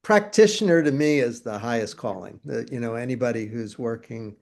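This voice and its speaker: A middle-aged man with an average-pitched voice hosts a conversation. He asks long, rambling questions, circling back and restarting phrases. His delivery is friendly and unscripted. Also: brisk, gravelly, darkish tone. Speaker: interview host. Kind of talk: conversational interview hosting.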